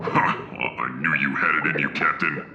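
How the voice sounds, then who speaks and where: A man playing a proud, boastful alien warrior sidekick in a sci-fi audio drama, with a gravelly voice and bombastic, earnest delivery. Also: extremely low pitch, steady tone, normal speed, lo-fi sound, over music, pitched below the performer's own voice. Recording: echoey cave-like reverb.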